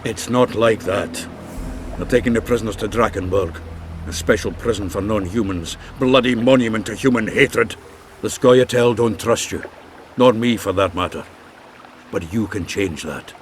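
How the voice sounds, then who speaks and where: An actor playing a gruff, blunt male dwarf in a fantasy game, speaking earnestly. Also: lowish voice, moderate pace, slight background noise, natural voice. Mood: urgent, earnest. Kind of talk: fantasy video game acting.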